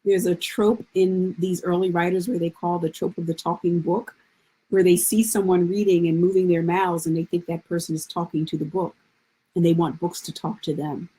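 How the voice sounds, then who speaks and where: Low, mellow, slightly husky woman scholar. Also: flat delivery, normal speed, rough recording. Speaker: guest literary scholar. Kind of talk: explanatory panel discussion. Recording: live online event.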